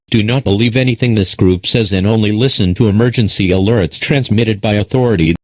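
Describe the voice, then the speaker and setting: A man with a fairly low voice delivers a mock emergency alert as the announcer. His delivery is formal and solemn, and it halts here and there as he works through the script. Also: darkish tone, dry recording. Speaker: announcer in an amateur emergency-broadcast scenario.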